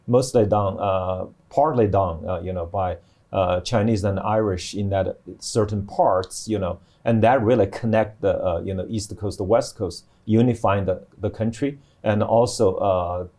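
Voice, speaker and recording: A middle-aged man explaining things on a panel as a professor, in a halting way with many restarts. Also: on the low side, dark tone. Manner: halting, hesitant delivery with repeated restarts. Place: TV studio.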